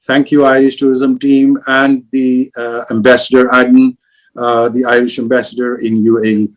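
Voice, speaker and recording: Halting man leading a video call panel. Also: medium pitch, level tone. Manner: hesitant, many fillers and restarts. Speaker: moderator of travel industry panel. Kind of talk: online panel discussion.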